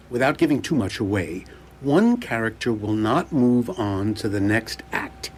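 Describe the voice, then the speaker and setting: A man's voice: mid-range voice, darkish tone. A man giving a scripted, theatrical introduction to a radio play with dramatic, teasing showmanship. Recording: clear background noise and ambience.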